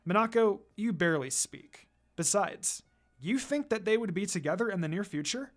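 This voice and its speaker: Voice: medium pitch, expressive, moderate pace, natural voice. A reader voicing a laid-back, skeptical male ninja mentor in a laconic, murmuring manner.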